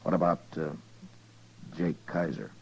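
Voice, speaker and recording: An actor playing a steady, plain-spoken middle-aged lawman in a radio western, husky and measured, sometimes hesitant as he questions. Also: exaggerated voice, rough recording. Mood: measured, probing. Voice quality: husky, very deep voice. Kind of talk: 1950s radio western acting.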